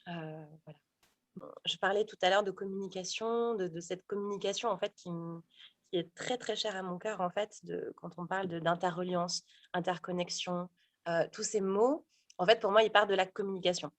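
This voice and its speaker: A woman in her thirties speaks in a fairly low voice, searching for words and restarting her sentences often. The sound is dry and close, with no room echo. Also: steady tone, fairly quick. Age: 30s. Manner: hesitant, many fillers and restarts. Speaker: guest, sophrologist and stage actor. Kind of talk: casual interview talk.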